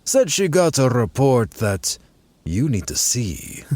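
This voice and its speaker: A man in his thirties playing a gruff, laid-back armored pilot who talks offhandedly. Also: mid-range voice, very animated, slow pace, a little mellow, no echo. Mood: offhand. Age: 30s. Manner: casual, offhand.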